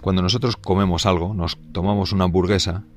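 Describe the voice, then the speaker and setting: Male priest, very low voice, unscripted catechesis, soft halting delivery, light music bed. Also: steady tone. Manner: gentle, halting, many fillers and restarts.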